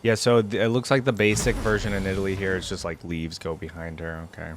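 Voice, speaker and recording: A man's voice: low voice, level tone, fast talker, background noise. A man giving casual, off-the-cuff game reaction commentary, rambling as he thinks aloud. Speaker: reaction video host.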